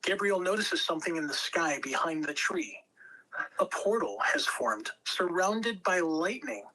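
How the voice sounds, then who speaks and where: A man's voice: sits high, animated, unhurried, sharp tone, rough recording. A man reading stage directions as the narrator at a table read of a fantasy script.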